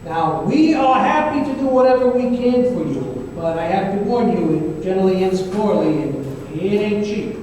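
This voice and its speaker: A man's voice: high-pitched, animated, moderate pace, hardly any pauses, mellow. A man playing a wry, world-weary private eye, telling his case in old-time radio style with a folksy, hesitant delivery. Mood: businesslike. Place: live stage performance in an echoey room. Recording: echoey hall, distant mic, faint noise.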